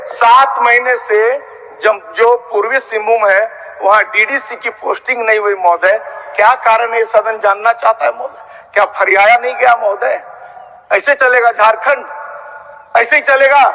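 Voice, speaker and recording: High-pitched, fiery male legislator in a reverberant hall. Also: sharp tone. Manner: combative, accusatory, repetitive rhetorical questioning. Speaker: opposition legislator. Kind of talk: fiery assembly speech. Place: large legislative chamber. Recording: reverberant hall sound system.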